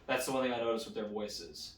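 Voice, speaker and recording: A man's voice: lowish voice, fast, a bit bright, slight background noise. Chatty man in an echoey room. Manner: chatty, reacting aloud to what is on screen. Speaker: watch party host. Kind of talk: casual commentary while watching a cartoon.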